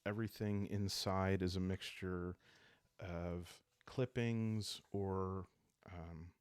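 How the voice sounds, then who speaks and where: A man speaking calmly and soothingly in an unhurried way, hosting a relaxing role-playing series meant to help listeners fall asleep. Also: low-pitched, smooth, no room sound. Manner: calm, unhurried, soothing delivery. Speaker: host of a solo role-playing video series. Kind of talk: calm, sleep-aid ASMR narration to viewers. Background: background music.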